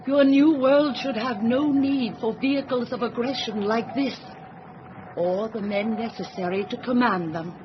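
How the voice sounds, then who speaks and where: A solemn female alien emissary, played by an actress, delivers judgement in a radio drama. The voice sits a little high and is calm, formal and lecturing. The recording is dull, with clear noise over it. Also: a little slow, lightly acted. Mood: solemn, measured.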